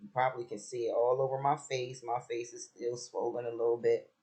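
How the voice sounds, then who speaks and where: A man with a raspy voice who has just woken up, chatting casually about a TV episode with lots of fillers and restarts. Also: mid-range voice, fairly quick. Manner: relaxed, rambling, many fillers and restarts. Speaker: reality TV commentator.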